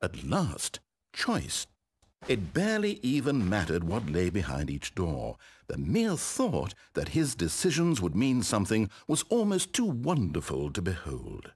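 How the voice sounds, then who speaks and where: Wildly expressive British storyteller. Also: male voice, fairly low pitch, measured pace, slightly rough, exaggerated voice. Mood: wistful storytelling. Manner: eloquent, condescending, dramatic. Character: wry, exasperated, controlling male storyteller narrator. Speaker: game narrator. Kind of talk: theatrical scripted storybook narration.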